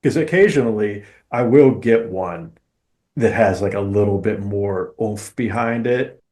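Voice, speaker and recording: A man talking casually as a podcast host, giving his opinions in an emphatic, deliberate way. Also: lowish voice, a little mellow.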